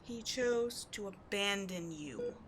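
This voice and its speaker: A woman's voice: mid-range voice, expressive, slow, slight background noise, rough recording. A woman playing a sardonic, commanding supervillain in a scripted audio roleplay, biting and contemptuous as she tends a captive sidekick. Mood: wry, cutting.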